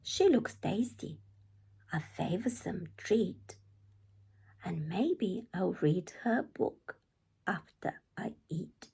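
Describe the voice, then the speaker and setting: Woman voicing a husky, cunning storybook wolf. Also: on the low side, expressive, slow pace, dark tone, full character voice. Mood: scheming. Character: cunning hungry male wolf, sly then fierce. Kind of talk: children's storybook character voice.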